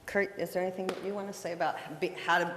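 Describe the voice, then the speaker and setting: A woman's voice: on the low side, flat delivery, very fast, a bit bright, slight background noise. Woman, community organizer, casual rambling talk, trails off, echoey hall. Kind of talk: casual talk at a public meeting. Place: community meeting room.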